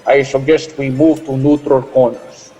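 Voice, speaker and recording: An actor playing a cautious, diplomatic Spanish priest who speaks in a measured, formal way in a radio drama. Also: male voice, medium pitch, level tone, mellow, breathy edge. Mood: admonishing. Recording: long hall reverb, music underneath.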